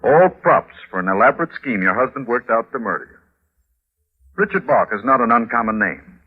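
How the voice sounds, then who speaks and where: An actor playing a brisk, authoritative police inspector in a 1950s radio drama, matter-of-fact and dismissive. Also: male voice, mid-range voice, lively intonation, breathy edge. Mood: explanatory. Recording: heavily muffled, tinny old radio recording.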